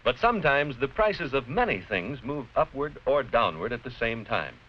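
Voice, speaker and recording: A man's voice: medium pitch, big pitch swings, a little hiss or hum. American man narrating, dull vintage film sound. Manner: didactic, deliberate, clearly explanatory. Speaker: narrator-presenter of an educational film. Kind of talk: scripted educational narration.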